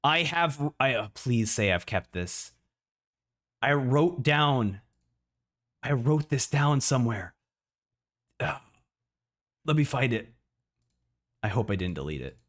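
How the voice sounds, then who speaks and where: A man in a casual livestream reaction, talking excitably and often repeating words as he thinks out loud. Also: medium pitch, slow pace, pauses often. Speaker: streamer reacting to a video.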